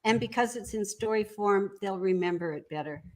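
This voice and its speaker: A woman's voice: medium pitch, fairly quick. A woman speaking conversationally as an entrepreneur guest in an interview.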